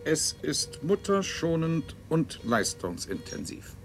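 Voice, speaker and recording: A man's voice: fairly high pitch, very animated, slow, creaky, exaggerated voice. A man playing a cold, self-satisfied scientist in a German sci-fi radio play, speaking theatrically and clinically, relishing each word. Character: cold, self-satisfied male scientist-ruler extolling his breeding system. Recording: radio drama with music underneath.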